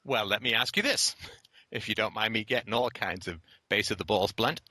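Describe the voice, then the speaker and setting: Male call-in host, blunt and lecturing, bright forceful voice, dry close mic. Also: lowish voice, normal speed, no room sound. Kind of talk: blunt philosophical call-in talk.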